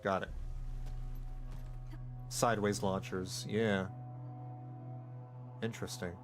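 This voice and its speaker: A man streaming a game, rambling casually with excited outbursts and stammering restarts. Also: fairly low pitch, slow, soft background music. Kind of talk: casual live gameplay commentary.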